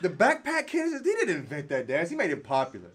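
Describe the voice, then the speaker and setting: Animated, higher-pitched man bantering casually. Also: fairly quick, husky. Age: 30s. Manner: animated storyteller, slangy, laughs readily. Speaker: livestream show host.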